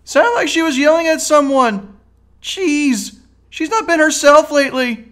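A man's voice: extremely high pitch, unhurried, a bit bright. A man voicing an anxious teenage grandson in a cartoon audio drama, nervous and whiny. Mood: uneasy.

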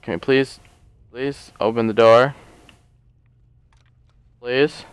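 A man casually commenting on his gameplay, rambling and joking as he thinks aloud, a little hoarse from a long session. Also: medium pitch, fairly even intonation, slow pace, dry recording. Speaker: let's play host.

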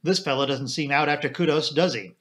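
A man's voice: on the high side, fairly quick, fairly bright tone, natural voice. A man voicing an English colonel and chief constable in an audiobook, courteous, affable and official in manner. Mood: confiding. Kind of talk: audiobook character dialogue.

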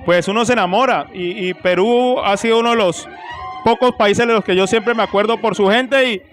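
A man's voice: high-pitched, moderate pace, a bit bright. A middle-aged man with a Colombian accent, a salsa singer answering casually in an interview on a handheld mic. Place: indoor room at a nightclub event. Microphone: handheld interview microphone. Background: faint music.

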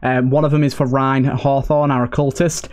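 A man's voice: mid-range voice, flat delivery, moderate pace, a little mellow. A man giving casual, chatty let's play commentary, thinking aloud as he hosts. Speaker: gaming host.

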